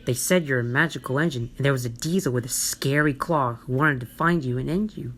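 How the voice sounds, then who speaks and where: A woman's voice: rumbling low, a little fast, a bit bright. A woman voicing a cheerful young male tank engine who talks eagerly. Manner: eager, talkative.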